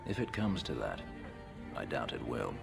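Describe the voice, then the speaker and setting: A brooding fugitive fighter with an extremely deep, gravel-edged voice. He speaks in terse, measured, formal lines as in a dramatic video game scene, with music playing under him. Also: very animated, heavily acted. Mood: grim resolve. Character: brooding male warrior, a fugitive on the run, guarded. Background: background music.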